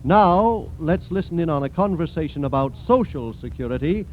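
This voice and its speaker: High-pitched American radio announcer man. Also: animated, normal speed. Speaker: radio announcer and sponsor spokesman. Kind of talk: 1940s scripted radio announcing. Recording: muffled, noisy 1940s radio recording.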